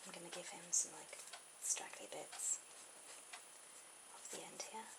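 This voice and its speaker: Woman, breathy ASMR whisper, low creaky voice, informal drawing tutor, hesitant pauses. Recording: very noisy amateur camera audio.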